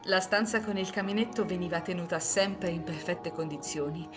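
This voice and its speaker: A woman's voice: on the low side, normal speed. A woman in an amateur live reading group, moving between casual chat and scripted narration. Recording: music playing behind the voice.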